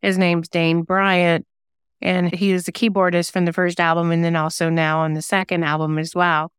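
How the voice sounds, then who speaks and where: Very clear, smooth-voiced woman, lowish pitch. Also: flat delivery, normal speed, dry recording, studio quality. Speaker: singer-songwriter guest. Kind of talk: casual interview chat.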